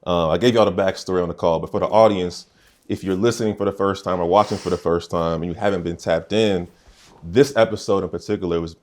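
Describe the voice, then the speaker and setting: A man hosting a podcast, talking in a relaxed, conversational way with slang. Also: deep voice. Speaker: podcast host.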